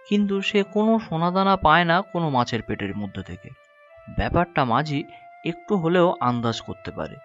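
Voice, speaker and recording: A man narrates an animated folk tale in storybook style. Music plays behind him and the sound is a little dull. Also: medium pitch.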